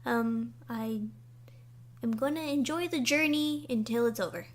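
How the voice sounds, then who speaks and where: A young woman co-hosting a casual podcast chat, excitable and gushing about things she loves. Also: fairly high pitch. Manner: excitable and gushing, quick to say she will cry. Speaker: podcast co-host.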